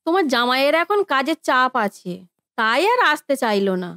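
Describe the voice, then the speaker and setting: Demanding elder sister-in-law, high voice, brusque, entitled, cartoon drama. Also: female voice, big pitch swings, normal speed, sharp tone, no room sound, clean studio sound, full character voice. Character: bossy, demanding married eldest sister-in-law. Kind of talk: cartoon family drama acting.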